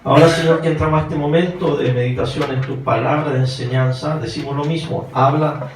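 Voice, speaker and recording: A man's voice: a little high, steady tone, brisk. A man with a Chilean accent preaching a sermon as a pastor, putting questions to his listeners. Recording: roomy, distant mic, faint noise.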